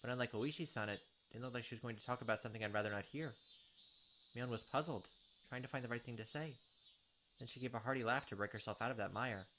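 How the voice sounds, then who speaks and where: A man's voice: medium pitch, fast, noisy background. A man reading a visual novel's text aloud in a let's play, adding joking asides.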